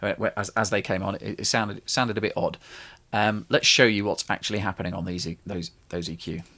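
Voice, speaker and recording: Gravelly man explaining a home mix. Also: fairly low pitch, fairly even intonation, a little fast, sharp tone. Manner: loose, rambling explanation with frequent restarts and fillers. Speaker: home studio musician explaining his mix. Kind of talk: casual tutorial talk. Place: small home studio.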